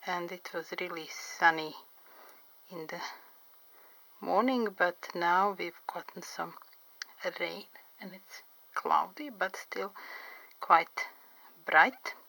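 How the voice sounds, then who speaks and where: A middle-aged woman, not a native English speaker, chatting slowly and carefully about her drawing, enunciating every vowel clearly. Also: lowish voice, bright, forceful tone, dry recording, poor audio. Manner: slow, careful, clearly enunciated, pauses often. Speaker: artist and interpreter. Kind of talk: drawing tutorial vlog chat. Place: home studio by a window.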